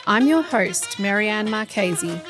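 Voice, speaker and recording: A woman hosting a podcast interview, encouraging and quick to laugh, chiming in often. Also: medium pitch, lively intonation, over music. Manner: warm, encouraging, frequent laughs and backchannels. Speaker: podcast host.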